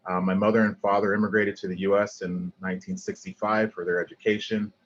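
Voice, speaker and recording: Deep-voiced man giving an earnest lecture. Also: little pitch movement, fast talker, lo-fi sound. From Liberia. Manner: earnest, many ums and restarts. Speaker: guest lecturer, landscape architect. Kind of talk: online lecture with slides.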